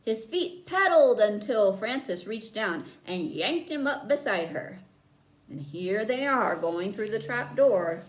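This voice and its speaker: A woman reading a children's book aloud as a storyteller, with dramatic emphasis and drawn-out key words. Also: medium pitch, lively intonation, poor audio. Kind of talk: expressive read-aloud narration for children.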